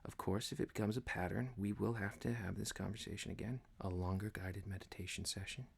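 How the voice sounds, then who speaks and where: A man playing a stern corporate boss in an ASMR roleplay, officious and deadpan, dryly menacing yet caring. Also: on the low side, fairly quick, gravelly, husky.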